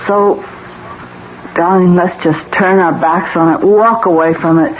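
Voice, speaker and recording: A woman's voice: on the low side, steady tone, unhurried, natural voice. A woman acting in a 1970s radio drama, playing an anxious, pleading wife with an earnest delivery. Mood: weary, pleading. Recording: muffled old radio broadcast, faint noise.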